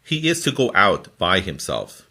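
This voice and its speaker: A man reading scripture from a formal translation in a deliberate, phrase-by-phrase manner. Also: sits low, wildly swooping pitch, bright, forceful tone. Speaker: scripture reader.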